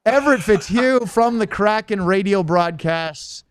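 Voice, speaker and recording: A man's voice: sits high, unhurried, smooth. A man hosting a sports podcast in casual chat, speaking with enthusiasm and readily giving compliments. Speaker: podcast host.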